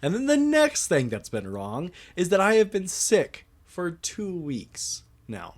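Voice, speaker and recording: A man's voice: high voice, wildly swooping pitch, takes it slowly. A man co-hosting a podcast in casual chat, laid-back and casually profane. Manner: laid-back, swears casually, laughs between phrases. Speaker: podcast co-host.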